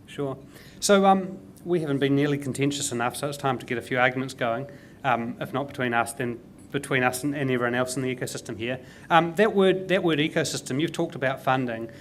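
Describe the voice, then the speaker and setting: A man's voice: medium pitch, brisk, fairly bright tone, a little hiss or hum. A man with a New Zealand accent hosting a casual panel discussion, emphatic and opinionated, often saying "you know". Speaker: panel host.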